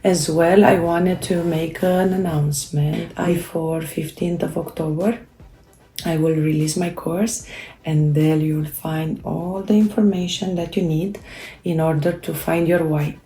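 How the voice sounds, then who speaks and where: A woman whose first language is Romanian talks slowly and haltingly, often stopping to restart her sentences. Her voice is gravelly, fairly low and mellow. The recording has a noticeable room echo. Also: fairly even intonation. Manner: halting, many fillers and restarts. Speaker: self-help creator. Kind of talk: casual motivational talk.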